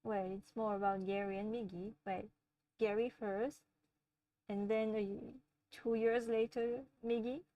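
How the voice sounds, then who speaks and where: A woman with a French accent chats slowly and gently with her viewers during a livestream, laughing often as she goes. Her voice sits a little high. She is set back from the microphone in a dry, dead-sounding room. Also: steady tone, dry recording, far from the mic, narrow, tinny audio. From France. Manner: gentle, chatty, laughs often, talks softly to her pets. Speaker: livestream host. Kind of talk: casual live chat answering viewers.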